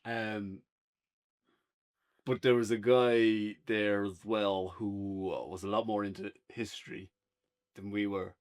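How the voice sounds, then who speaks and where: A man's voice: fairly low pitch, flat delivery, slow pace, long pauses. An Irish man chatting casually and unscripted as a podcast co-host reviewing a show. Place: indoors on a couch, podcast setup.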